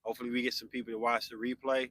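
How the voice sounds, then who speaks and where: A man's voice: medium pitch, flat delivery, rapid-fire, a bit bright, no room sound. A man in his forties hosting a podcast, chatting casually with live viewers and answering their comments. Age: 40s. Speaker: podcast host.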